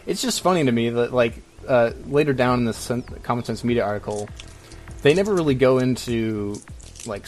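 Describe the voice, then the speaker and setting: A man's voice: medium pitch, rough recording. A man co-hosting a podcast in casual, opinionated chat, speaking in a rambling, hesitant way full of filler "like"s. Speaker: podcast co-host.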